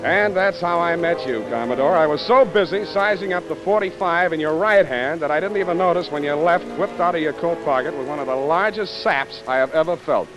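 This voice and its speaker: An actor playing a cool, sardonic private detective with dry, clipped wisecracks, in 1940s radio noir style. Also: male voice, on the high side, brisk, hardly any pauses, over loud music, natural voice, low-quality recording. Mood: wry narration.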